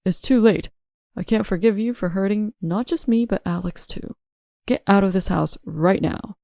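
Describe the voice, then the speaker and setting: A woman's voice: mid-range voice, normal speed, vocal fry, warm tone, no echo, clean studio sound, natural voice. A woman voicing a stern, wronged husband who speaks with cold, accusing firmness in an audio story. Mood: stern anger.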